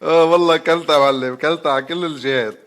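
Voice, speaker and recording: A Lebanese man makes a prank call over the telephone at a very rapid pace. His voice sits a little high, and he goads the other party with crude, vulgar street banter. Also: fairly even intonation, a bit bright. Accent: Lebanese Arabic. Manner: taunting, vulgar, goading. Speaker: prank caller.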